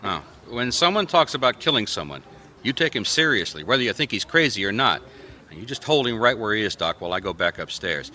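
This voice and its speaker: A no-nonsense radio-mystery detective with a low, forceful voice. He fires off blunt questions and orders briskly, over faint background noise. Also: big pitch swings, full character voice. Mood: firm, commanding. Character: no-nonsense male private detective, former city policeman.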